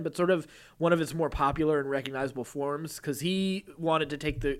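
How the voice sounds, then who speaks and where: Higher-voiced man chatting casually. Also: a bit bright. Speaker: co-host of a comics news podcast. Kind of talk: casual chat with read-out news items.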